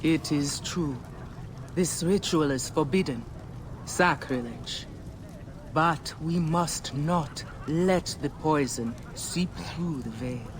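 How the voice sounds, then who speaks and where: A man's voice: fairly high pitch, takes it slowly. A man playing an ominous, mystical ancient seer in a video game, speaking in portentous, incantatory phrases. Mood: dire. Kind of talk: dramatic video game acting. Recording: heavy background noise, outdoor ambience.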